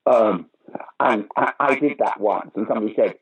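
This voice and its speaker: Husky older British man telling anecdotes. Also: on the low side, normal speed, rough recording. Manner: storytelling, animated anecdotes. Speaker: interview guest, pantomime dame actor. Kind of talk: casual anecdotal interview.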